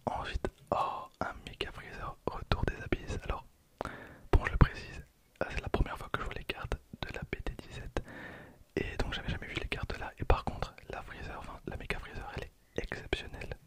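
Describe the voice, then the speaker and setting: A man in his late twenties whispering ASMR commentary softly and unhurriedly, close to the microphone. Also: brisk. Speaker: ASMR video creator opening trading cards. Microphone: close handheld stereo recorder.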